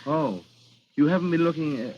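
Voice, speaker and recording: A man playing a smug, high-voiced radio villain. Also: moderate pace, a little mellow, lightly acted. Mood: quiet menace. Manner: suave, condescending, mock-polite, chuckling. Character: smug, cold, mocking villain feigning polite innocence. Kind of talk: 1940s radio drama acting. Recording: muffled, hissy old radio recording.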